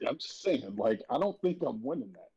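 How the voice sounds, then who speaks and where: A man's voice: mid-range voice, very animated, a little fast, gravelly, a little mellow, breathy edge, no room sound. A man in casual chat, wry and rambling, repeating words for emphasis, on a slightly dull close recording. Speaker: cast member on a panel.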